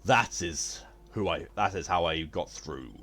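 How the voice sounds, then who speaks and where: A man's voice: deep voice, lively intonation, a little slow, fairly bright tone, soft background music. A man chatting on a live game stream, with formal wording and a theatrical way of talking.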